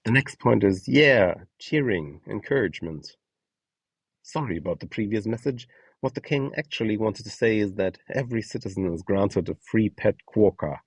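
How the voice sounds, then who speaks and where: A man giving instructional narration in a measured, explanatory way with a light touch of whimsy. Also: on the low side, expressive, husky, dry recording. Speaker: presenter of a voice donation guide.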